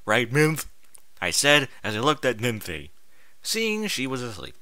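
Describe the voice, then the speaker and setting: A man reading a first-person story aloud in an expressive, informal way, as if acting out the narrator's thoughts. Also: medium pitch, unhurried, no room sound. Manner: expressive, informal, sweary interjections. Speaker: fan fiction reader.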